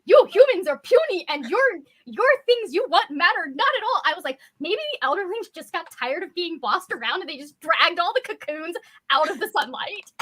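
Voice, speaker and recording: High-voiced woman chatting about books. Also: very animated, normal speed, a bit bright. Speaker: book discussion co-host. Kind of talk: casual book chat on a livestream.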